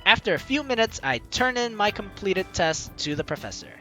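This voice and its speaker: A man commentates on a game as a let's play host, switching between narration and jokey reactions. His voice is high and very lively, with faint music behind it. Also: moderate pace. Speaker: gaming video host.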